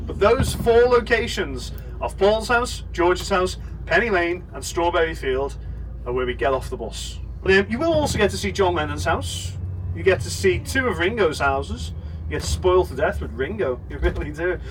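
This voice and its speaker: A man's voice: high-pitched, sing-song, moderate pace. A man with a Liverpool accent working as a tour guide, giving chatty, jokey commentary to a busload of visitors. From Liverpool, England. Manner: chatty, jokey, rallying the crowd. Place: on a tour bus. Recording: distant voice with loud bus noise.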